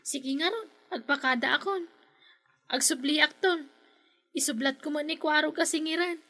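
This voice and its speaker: Casting: woman plays courteous young Ilocano debt collector, extremely high, bright voice, comic radio drama. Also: moderate pace. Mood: polite. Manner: polite, deferential.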